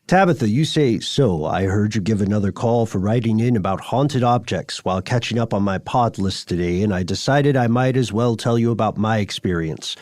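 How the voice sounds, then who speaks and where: Male podcast host, slightly low voice, deliberate conversational phrasing, dry studio-like sound. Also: a little mellow, no echo. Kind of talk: conversational podcast hosting and reading listener letters.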